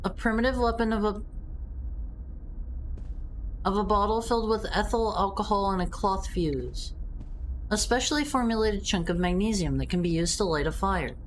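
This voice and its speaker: A woman's voice: mid-range voice, normal speed, long pauses, a little hiss or hum, low-quality recording. A woman streaming a game, chatting casually and calling out short commands.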